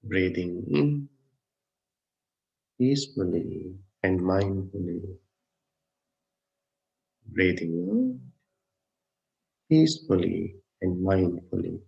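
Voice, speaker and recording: A man leading a guided meditation as a teacher, speaking gently and unhurriedly in short phrases with long pauses. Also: sits low, lively intonation, creaky. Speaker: Buddhist monk, meditation teacher. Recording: dull, muffled lo-fi sound.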